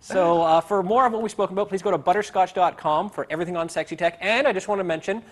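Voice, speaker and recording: A man's voice: high-pitched, fast talker. A man hosting a show, chatting in an upbeat, joking way. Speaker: show host. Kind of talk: casual chat.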